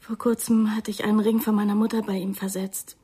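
An actress playing a defensive, prim young woman in a radio crime drama, speaking in a breathy, dramatic, indignant manner. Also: medium pitch, level tone, brisk, dark tone, natural voice. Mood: agitated, pleading. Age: young adult.

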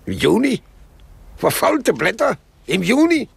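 Fretful, gravel-voiced old husband. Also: male voice, sits high, sing-song, a little slow, no room sound, full character voice. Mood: confused, fretful. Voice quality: husky, gravelly. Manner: agitated, querulous, pleading. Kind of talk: radio drama acting.

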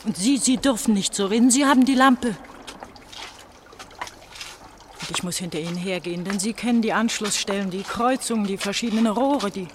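Radio play casting: actress as young woman, a pastor's daughter, trusting but troubled and confiding, over clear water trickle. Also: medium pitch, fast, lightly acted. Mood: pleading. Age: young adult. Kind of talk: radio drama acting. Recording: trickling water noise behind the voice. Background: trickling, dribbling water.

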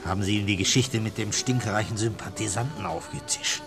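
A man's voice: fairly low pitch, huge pitch swings, fairly quick, music in the background. An actor playing a pushy, impatient spy handler in a German radio play, curt and demanding as he interrogates. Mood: probing, suspicious.

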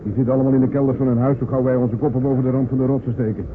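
An actor playing an excited male fisherman nearing his home coast in a radio drama. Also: medium pitch, very fast, close to an everyday voice. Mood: eager. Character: eager fast-talking male fisherman returning home. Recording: tinny noisy old radio recording.

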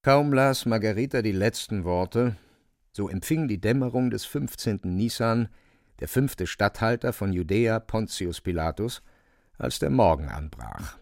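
A man reading scripted literary narration for a radio drama in a measured, matter-of-fact way. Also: lowish voice, expressive, darkish tone, dry recording, studio quality.

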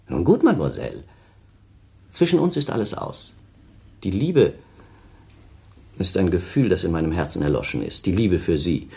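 A man's voice: sits low, mellow, slightly rough, full character voice. An actor playing a callous, self-pitying man of thirty in a German radio play, haughty, theatrical and dismissive. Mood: haughty, irritated. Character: cold, self-pitying thirty-year-old man, callous scornful former lover.

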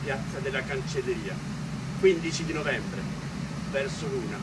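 A man's voice: mid-range voice, expressive, normal speed, far from the mic, very noisy, close to an everyday voice. A man acting as a storyteller, recounting history. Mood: tense recounting. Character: Roman male storyteller recounting events of 1849. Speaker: actor and narrator. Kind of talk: theatrical eyewitness storytelling over music.

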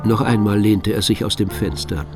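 An actor as the measured narrator of a detective story, reading scripted narration for a radio crime drama. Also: male voice, on the low side, steady tone, over music, close to an everyday voice.

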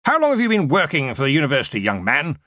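A man's voice: sing-song, normal speed, bright, forceful tone, breathy edge, no echo, studio quality, exaggerated voice. A man voicing an old, imperious university wizard in an audiobook, with a weighty, commanding manner. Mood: blustering, defiant. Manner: booming, imperious. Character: old blustering male wizard, head of a university.

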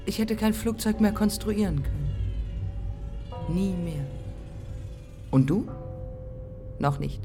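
An actress playing a bitter, haunted pioneer woman pilot in a poetic radio play, with deliberate, fragmentary phrasing. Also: mid-range voice, dark tone, soft background music. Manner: deliberate, fragmentary, incantatory phrasing with repeated lines.

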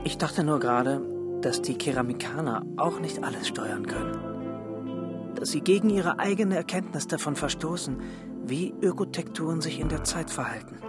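An actor playing an earnest, brooding wanderer in a science-fiction radio drama, speaking pensively and deliberately. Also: medium pitch, loud music behind the voice, lightly acted.

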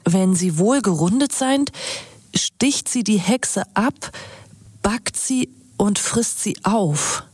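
Grave woman court chronicler, rough-edged husky voice, measured, dry close sound, radio play. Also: medium pitch, a little mellow, dry recording. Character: solemn reader of an old witch-trial record. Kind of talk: scripted archaic narration in radio drama.

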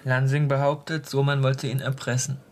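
A man's voice: mid-range voice, flat delivery, moderate pace, a little hiss or hum, lightly acted. A man playing a plain-spoken police detective who reports facts without fuss in a radio crime drama. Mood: factual. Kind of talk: naturalistic German radio crime drama dialogue.

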